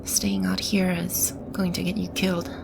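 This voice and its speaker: A woman playing a gentle, protective demon in an ASMR roleplay, speaking softly and soothingly with a breathy, whispery delivery. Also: fairly low pitch, flat delivery, noisy background. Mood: concerned, guarded. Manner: soft, unhurried, soothing reassurance. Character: gentle protective female demon sheltering a lost child. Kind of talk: ASMR roleplay, soft-spoken with whispery passages. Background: ambient sound effects.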